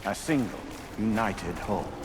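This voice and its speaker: A man playing a calm, coldly paternal artificial intelligence in a video game, speaking in formal, measured, grandiose speeches over music. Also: fairly low pitch, noisy background, close to an everyday voice. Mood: calm, commanding. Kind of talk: cinematic video game voice acting. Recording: music behind the voice.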